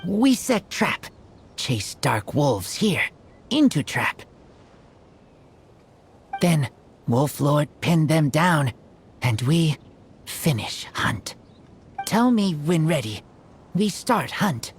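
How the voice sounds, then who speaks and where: A man playing an earnest teenage boy raised by wolves in a video game, speaking in blunt, halting, broken sentences. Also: a little high, sing-song, slight background noise, heavily acted. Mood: determined.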